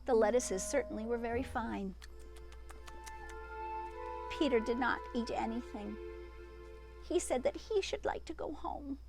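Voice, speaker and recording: A woman's voice: on the high side, measured pace, creaky, pauses often, music in the background. A woman reading a children's storybook aloud expressively, breaking off for chatty asides to young listeners.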